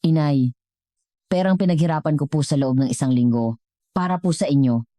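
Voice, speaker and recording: A woman's voice: deep voice, fairly quick, dark tone, no room sound, close to an everyday voice. A woman voicing a humbled, remorseful adult son in a dramatic story, respectful and earnest as he pleads with his mother. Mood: apologetic.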